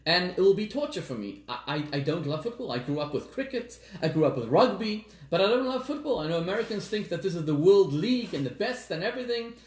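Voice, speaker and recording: A man from Zimbabwe raised in South Africa, a rabbi giving a sermon, telling stories with dramatic pauses and slowing down on key words. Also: sits high, big pitch swings. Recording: echoey room.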